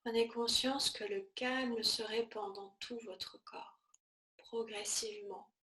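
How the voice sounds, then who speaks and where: A woman teaching yoga nidra, guiding a relaxation meditation in a soothing, measured manner with short, spaced-out phrases. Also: fairly high pitch, steady tone, a bit bright, echoey room.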